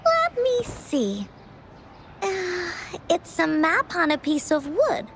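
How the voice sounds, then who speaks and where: A woman's voice: very high-pitched, huge pitch swings, takes it slowly, a bit bright, slight background noise, full character voice. A woman voicing a cheerful, childlike girl who talks about herself in the third person, in a video game. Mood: curious. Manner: bubbly, refers to herself by name. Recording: game audio with music behind.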